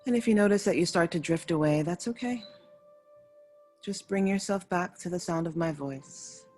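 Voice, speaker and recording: Low-voiced woman guiding a slow meditation. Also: a little mellow. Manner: soothing, unhurried, instructing in short phrases with pauses. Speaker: yoga nidra meditation teacher. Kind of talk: guided meditation. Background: faint music.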